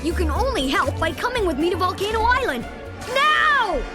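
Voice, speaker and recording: A woman's voice: very high voice, sing-song, normal speed. A woman voicing a brainy, bossy boy inventor in a cartoon game, explaining things in a know-it-all way. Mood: urgent. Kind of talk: animated game cutscene acting. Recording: game music behind the voice.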